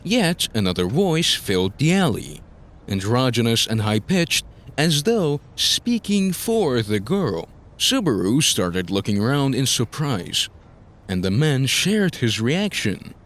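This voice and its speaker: A man's voice: medium pitch, big pitch swings, slow pace, a bit bright. A man narrating a scripted fantasy story as a dramatic audiobook-style narrator.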